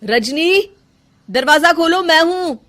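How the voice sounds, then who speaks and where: Cartoon drama: a woman playing a concerned young husband who questions insistently, in a lifted, lively voice. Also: very high voice, slow, pitched above the performer's own voice, exaggerated voice. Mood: urgent.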